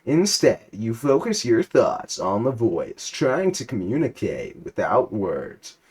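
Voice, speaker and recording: A man giving a comic dramatic reading of a story, in a deadpan manner, sometimes stumbling and rereading lines. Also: medium pitch, takes it slowly, husky. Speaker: reader.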